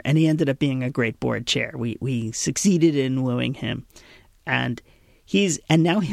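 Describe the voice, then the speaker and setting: A man's voice: mid-range voice, gravelly, dry recording, studio quality. A man co-hosting a podcast, chatting casually, laughing often and restarting his sentences. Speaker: podcast co-host.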